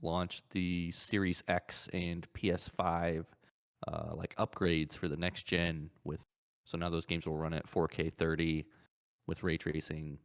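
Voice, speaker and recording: A man's voice: sits low, level tone, measured pace, clean tone. A man hosting a podcast, chatting casually about video games and often circling back to repeat his phrases. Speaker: podcast host.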